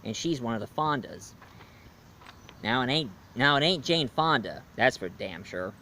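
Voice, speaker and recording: A man's voice: medium pitch, animated, unhurried, bright, forceful tone, a little hiss or hum. A man reviewing a movie in a casual, rambling way, with fillers and restarts. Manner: rambling, loose, with fillers and self-corrections.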